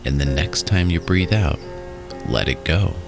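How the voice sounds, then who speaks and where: A man narrating a guided relaxation in a soothing, instructional way, in short phrases with pauses. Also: low-pitched, lively intonation, creaky. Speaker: guided meditation host. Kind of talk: guided meditation and hypnosis narration. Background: music.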